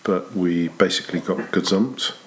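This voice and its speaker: Very deep-voiced, easygoing Englishman. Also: slight background noise. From England. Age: middle-aged. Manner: easygoing, laughs often, unhurried. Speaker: interviewee. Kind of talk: casual conversational interview.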